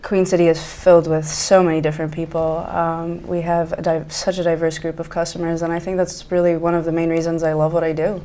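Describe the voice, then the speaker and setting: A woman's voice: low voice, level tone, brisk, few pauses, dark tone. A woman in her twenties from Egypt, talking earnestly and enthusiastically as a guest in a casual talk show conversation. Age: 20s.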